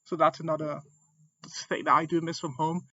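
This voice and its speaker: A man's voice: fairly high pitch, flat delivery, moderate pace, noisy background. A young man from Congo, a self-described broke international student, talking in a casual, frank way. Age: young adult. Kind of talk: interview testimony. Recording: dull, dry lo-fi audio.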